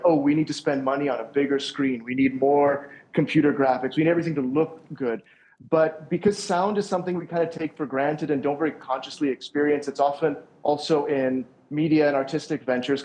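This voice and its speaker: A man's voice: a little high, darkish tone, low-quality recording. A young man, a sound engineer, answering interview questions over a call in a thoughtful, rambling way with many restarts.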